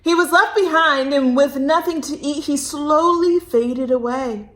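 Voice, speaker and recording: A woman's voice: high voice, unhurried, a bit bright. A woman reading a picture book aloud to children expressively, stretching words for emphasis and speaking to young listeners directly. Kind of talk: expressive read-aloud with chatty asides and questions.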